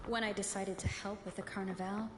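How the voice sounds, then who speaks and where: Quick-talking, wary young heroine, acted by a woman. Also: mid-range voice, little pitch movement, background noise, natural voice. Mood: reflective. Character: young female adventurer thinking aloud, calm and wary. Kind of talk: video game inner monologue.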